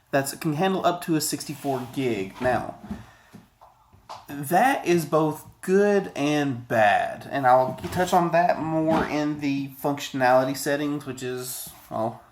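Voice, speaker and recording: A man in his thirties giving a casual, rambling, conversational product review to camera, often digressing. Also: fairly high pitch. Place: small indoor office, sitting at a desk.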